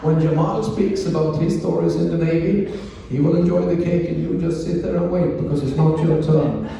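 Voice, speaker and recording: A ship's captain, a man from Sweden with a Swedish accent, giving a slow, joking speech at a ceremony on a cruise ship. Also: on the high side, fairly even intonation, gravelly, dark tone. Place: event room on a cruise ship. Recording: echoey room, distant mic, faint noise.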